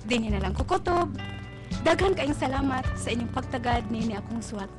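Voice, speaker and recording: A woman's voice: on the high side, fairly quick, over music, natural voice. A woman playing an emotional young Cebuano woman in a radio drama, tearful and pleading. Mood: grateful, composed. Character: emotional young woman, pregnant, pleading with her parents and sweetheart.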